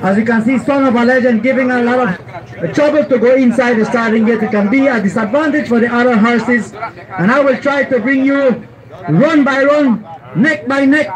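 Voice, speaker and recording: Man, middle-aged, very high voice, live race call, outdoor track, handheld mic. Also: moderate pace, a crowd in the background, low-quality recording. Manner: excitable, rising to shouts at the finish. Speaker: race announcer. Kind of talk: live horse race commentary. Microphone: handheld mic held close.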